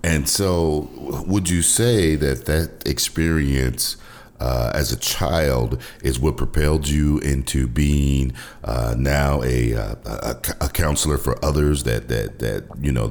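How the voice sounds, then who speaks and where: Extremely deep-voiced male talk host. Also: darkish tone. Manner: halting, full of fillers and restarts. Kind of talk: casual talk-show hosting.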